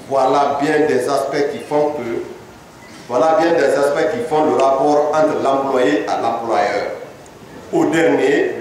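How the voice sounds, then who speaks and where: A middle-aged man reading a formal committee report aloud at a podium, measured and listing dates and numbers. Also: a little high, slight background noise. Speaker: parliamentary committee rapporteur. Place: large hall, indoors. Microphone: several podium microphones, recorded from across the room. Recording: echoey hall from far off.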